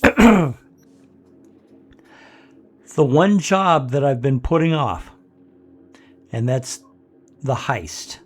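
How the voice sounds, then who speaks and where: An older man hosting a let's play from his home office, congested and rough-voiced, rambling with many pauses and fillers. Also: medium pitch, a little mellow. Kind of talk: casual gameplay commentary.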